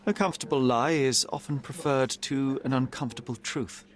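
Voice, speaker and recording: An actor playing a young, principled and stubborn detective in a video game, speaking in a measured, formal way. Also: male voice, mid-range voice, natural voice. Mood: earnest. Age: young adult. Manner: measured, earnest, formal speech.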